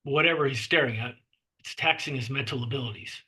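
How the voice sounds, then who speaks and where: A man's voice: mid-range voice, fast talker, sharp tone, slightly rough, rough recording. A man reading out scene descriptions as the narrator of a screenplay table read. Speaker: narrator reading stage directions.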